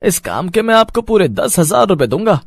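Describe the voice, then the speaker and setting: Petty villain vendor, male, jealous and scheming, high-pitched for a man acted by a man. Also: sing-song, normal speed, gravelly, no echo, studio quality, heavily acted.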